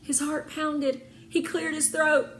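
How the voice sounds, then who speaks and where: A woman narrates a children's novel with a bright, forward tone. Her voice sits fairly high and she plays up the suspense with pauses. There is some background noise on the recording. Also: flat delivery. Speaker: read-aloud narrator of children's books. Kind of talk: expressive storybook read-aloud.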